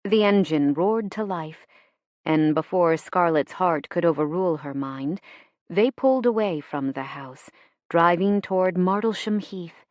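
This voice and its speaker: A woman reading fiction as an audiobook narrator in an expressive, measured, dramatic storytelling style. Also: on the low side, dry recording, muffled recording.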